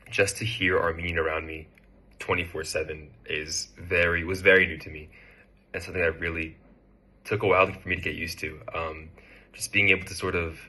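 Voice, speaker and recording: A young American man in his twenties, speaking about his internship in a relaxed, hesitant way with frequent fillers. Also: deep voice, breathy edge. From United States. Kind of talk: casual testimonial.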